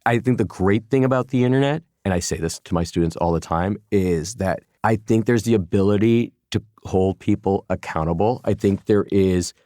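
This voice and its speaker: A man in his forties from Chicago, speaking as a guest in a relaxed interview. His voice sits very low, and he works through his thoughts with restarts and little fillers. The recording is close and dry, with no room sound. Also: moderate pace, few pauses, no room sound. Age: 40s. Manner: thoughtful, many restarts and "you know" fillers. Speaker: guest, former journalist turned food entrepreneur. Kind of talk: casual interview conversation.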